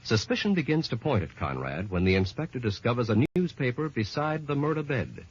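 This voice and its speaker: A man's voice: medium pitch, big pitch swings, fairly quick, husky. A man announcing and narrating an old-time radio drama with dramatic, emphatic scripted delivery. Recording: dull, muffled old radio recording.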